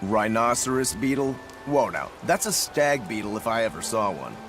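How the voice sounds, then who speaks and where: A man's voice: lowish voice, sing-song, moderate pace, music in the background. A man voicing an easygoing, boyishly enthusiastic swordsman in a video game, informal and breezy. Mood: excited, argumentative. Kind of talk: English video game voice acting.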